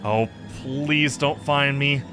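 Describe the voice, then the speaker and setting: A man's voice: mid-range voice, steady tone, takes it slowly, music in the background, pitched above the performer's own voice, close to an everyday voice. A man voicing a sulky, sarcastic satyr slacker from a visual novel game, whiny and dramatic. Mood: anxious self-soothing. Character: sulky sarcastic male satyr, lazy hard-drinking slacker.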